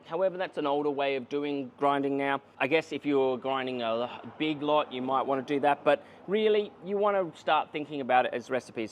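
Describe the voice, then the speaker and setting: A young Australian man talks through a home coffee machine with chatty enthusiasm, explaining each feature for beginners. His voice sits slightly on the high side for a man. A faint hiss of background noise runs under the recording. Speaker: coffee reviewer. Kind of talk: product review to camera.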